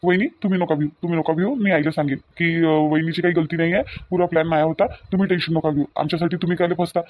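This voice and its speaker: A man's voice: fairly high pitch, fairly even intonation, quick pace, no room sound, dull audio, close to an everyday voice. A man playing an agitated young newlywed husband in a family drama, repeating himself, with a Varhadi Marathi accent. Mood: worried. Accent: Varhadi Marathi of Vidarbha. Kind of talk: amateur family comedy-drama dialogue.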